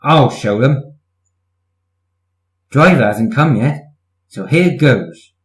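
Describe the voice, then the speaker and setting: A man's voice: medium pitch, animated, takes it slowly, echoey room. A man voicing a conceited, cheeky young steam engine in a children's storybook reading. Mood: smug, excited. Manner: boastful, later meek and faltering.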